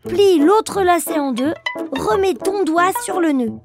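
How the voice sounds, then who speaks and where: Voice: very high-pitched, lively intonation, a little slow. A performer voicing an eager, chatty little boy bear cub who explains things simply in a children's cartoon. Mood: chatty, instructive. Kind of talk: children's cartoon, instructive nursery narration. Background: children's music.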